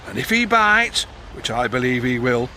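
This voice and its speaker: An actor playing a wily working-class informant in a period audio drama, talking colloquially and conspiratorially. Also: male voice, medium pitch, sing-song, normal speed, pushed and bright, slight background noise. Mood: sly, urging.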